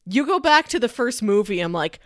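A woman's voice: a little high, very animated, brisk, a bit bright, dry recording. Chatty woman podcaster, dry close sound. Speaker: podcast co-host. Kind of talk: casual chat about films.

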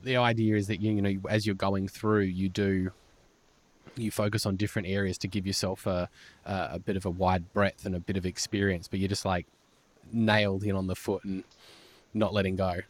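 Low-voiced male podcast host, halting delivery. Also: little pitch movement. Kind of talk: enthusiastic conversational interview.

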